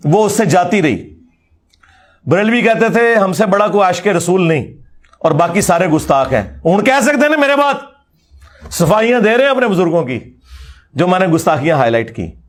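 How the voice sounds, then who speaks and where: A man's voice: high voice, sing-song, moderate pace, long pauses. A middle-aged man with a Pakistani Punjabi accent giving an informal, polemical religious lecture in a combative, mocking, emphatic way.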